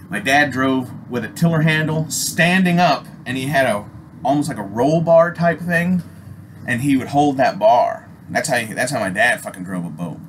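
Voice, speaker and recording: A man from Alaska with an American accent telling a personal story casually, in an animated, rambling, slangy way full of swearing. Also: lowish voice. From Alaska, United States. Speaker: storyteller, host. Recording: noisy amateur recording with ambient sound.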